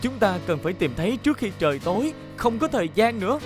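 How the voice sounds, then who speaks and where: A woman's voice: mid-range voice, big pitch swings, fast, music in the background, natural voice. A woman voicing a reassuring young rescue-vehicle leader in a dubbed children's cartoon. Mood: urgent, decisive.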